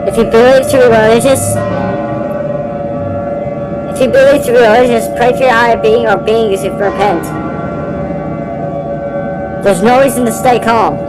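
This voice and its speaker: A woman acting out a solemn government doomsday address in an amateur style, halting and stumbling over her script. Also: fairly high pitch, fairly even intonation, loud music behind the voice, close to an everyday voice, low-quality recording.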